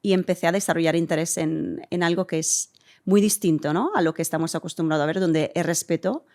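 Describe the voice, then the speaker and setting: A woman from Italy, a guest in an interview, answering thoughtfully and pausing with hums as she searches for words. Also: fairly low pitch, darkish tone. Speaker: interview guest, community center director.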